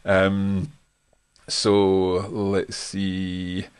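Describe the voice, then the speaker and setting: Scottish host in his forties, deep voice, relaxed and chatty on a home live stream, lots of ums. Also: extremely slow, clear, resonant tone. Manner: relaxed and friendly, thanks viewers, frequent fillers. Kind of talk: live stream Q&A, reading comments. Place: home study lined with bookshelves. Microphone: desk microphone in front, webcam framing.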